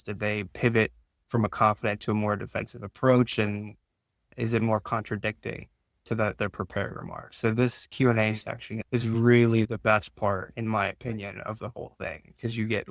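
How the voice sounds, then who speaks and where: Male interview guest, finance expert. Informal explainer, lower pitch, very even delivery. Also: a little fast, creaky, dry recording.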